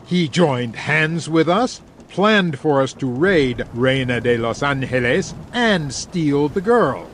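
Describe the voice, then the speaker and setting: A man's voice: a little high, lively intonation, takes it slowly, hardly any pauses, fairly bright tone, a little hiss or hum. A man playing a bitter, blustering captured pirate, scornful and exclamatory, in a dramatized audiobook.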